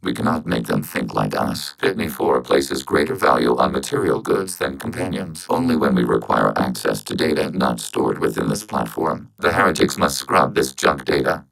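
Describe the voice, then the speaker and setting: A man voicing a calm, logical video game robot who speaks for a machine collective, with measured, clinical delivery and synthetic processing. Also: rumbling low, gravelly, heavily acted, rough recording. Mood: detached, analytical. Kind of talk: scripted science fiction video game dialogue.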